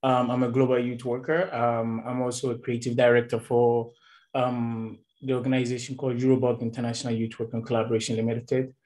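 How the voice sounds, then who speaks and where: A man's voice: medium pitch, flat delivery, darkish tone, poor audio. Earnest young man from Ghana. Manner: earnest, halting with fillers. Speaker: global youth worker, panelist. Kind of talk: panel answer on video call. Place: small indoor room with door. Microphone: video call.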